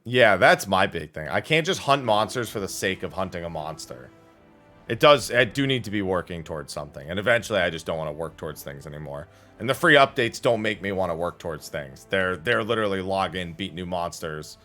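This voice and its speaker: Man with low, lively-pitched streamer chat. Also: brisk, soft background music. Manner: blunt, opinionated, casual swearing. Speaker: livestreamer. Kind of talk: casual gaming stream chat.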